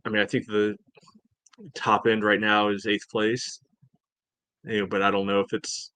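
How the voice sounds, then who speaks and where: A man with a fairly low voice co-hosts a casual sports podcast. He gives his analysis in a measured, matter-of-fact manner, and the sound is very dry, without room echo. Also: monotone, bright, forceful tone. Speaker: podcast co-host.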